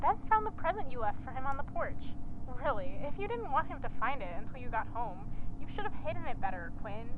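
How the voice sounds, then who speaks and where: A woman playing an affectionate, chatty partner phoning on a lunch break in an audio drama, gently teasing, through a telephone filter. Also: high-pitched, sing-song, fairly quick. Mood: teasing, curious. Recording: tinny phone line, faint noise.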